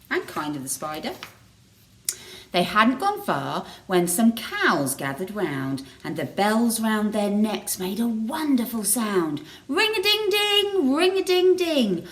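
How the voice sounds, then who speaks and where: A woman reads a children's story at a slow, deliberate pace. Her pitch moves in lively swings, and she adds chatty little asides. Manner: expressive, deliberate. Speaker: teacher reading a picture book to children.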